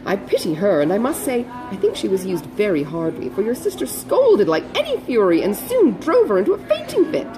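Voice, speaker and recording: Casting: female reader as excitable older society gossip, good-hearted, breathless, very wide swings in pitch, period novel audiobook. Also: fairly quick, few pauses, over music, poor audio. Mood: dramatic, pitying. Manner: breathless, exclamatory, chatty gossip. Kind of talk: dramatised audiobook reading of a classic novel.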